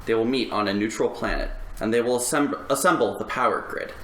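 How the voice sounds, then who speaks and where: A man's voice: mid-range voice, fast talker, a bit bright. A man talking casually as a podcast panelist. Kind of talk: casual podcast chat and reading out. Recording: roomy, echoing group recording.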